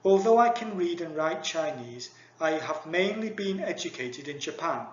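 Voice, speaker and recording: A man giving a measured, formal university lecture read from a script. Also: a little high, animated, slight background noise. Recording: echoey dull lo-fi recording.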